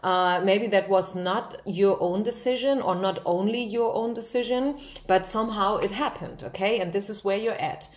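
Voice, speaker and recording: A woman speaks as a tarot reader at a medium pitch. She talks informally and straight to the listener, reassuring and direct. She often adds small check questions to see that the listener follows. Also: moderate pace, few pauses. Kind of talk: informal spoken tarot reading to viewers.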